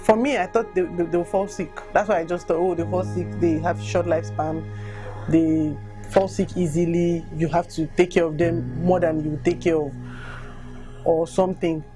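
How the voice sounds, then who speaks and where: A woman's voice: fairly low pitch, steady tone, normal speed. A woman with a Nigerian accent, reading personal accounts aloud and speaking candidly about them. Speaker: volunteer reader reacting to written accounts. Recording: music bed under the voice. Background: music.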